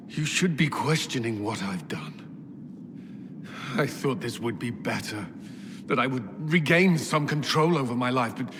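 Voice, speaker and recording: An actor playing an earnest, guilt-haunted military commander in a fantasy video game, speaking in a formal, serious way. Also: male voice, medium pitch, background noise. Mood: bitter, pained, then anguished, frustrated. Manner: formal, earnest, halting when emotional. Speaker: military advisor. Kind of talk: dramatic video game voice acting. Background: loud background music.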